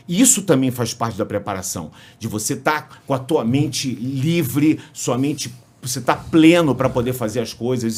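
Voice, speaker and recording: A Brazilian sports commentator with a Brazilian Portuguese accent, a podcast guest telling lively stories with restarts and jokes. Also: male voice, mid-range voice, husky. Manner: animated storyteller, frequent restarts, jokes. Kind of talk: casual interview storytelling.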